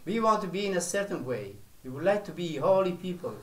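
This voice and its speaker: A male spiritual teacher preaching in a slow, deliberate way, leaving long pauses between phrases. His voice sits a little high for a man. The room around him rings with echo. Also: sing-song.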